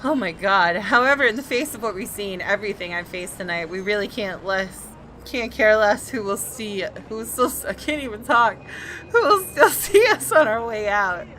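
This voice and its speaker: A woman's voice: mid-range voice, expressive, moderate pace, bright, forceful tone, faint music behind. A woman hosting a gaming video, reading visual novel narration aloud with dramatic expression.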